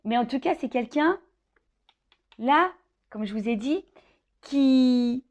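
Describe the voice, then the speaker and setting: A woman with a slightly high voice hosts an informal card reading, speaking directly to the viewer. She improvises as she goes, pausing to think, repeating phrases and adding small conversational tags. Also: animated, a little mellow, muffled recording. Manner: hesitant, thinking aloud, with frequent "hein" tags and repeated phrases. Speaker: tarot card reader hosting a love horoscope reading. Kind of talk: informal improvised tarot guidance addressed to the viewer.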